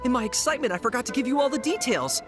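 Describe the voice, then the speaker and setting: A woman's voice: high voice, animated, rapid-fire. A woman voicing a fervent, gushing fan club leader in an anime video game, preaching theatrically. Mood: reverent, persuasive. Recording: faint game music behind.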